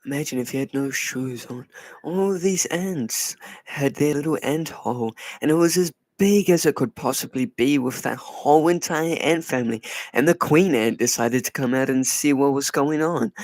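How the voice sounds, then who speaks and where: A man hosting a casual livestream, playfully teasing and answering his chat in a soft, put-on voice. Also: medium pitch, normal speed, no echo. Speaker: livestream host. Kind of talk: casual live chat with viewers.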